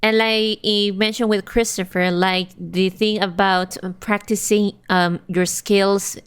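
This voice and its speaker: A woman co-hosting a podcast in casual chat, talking in halting phrases with many fillers as she searches for words. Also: mid-range voice, level tone, clear, resonant tone. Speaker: podcast co-host.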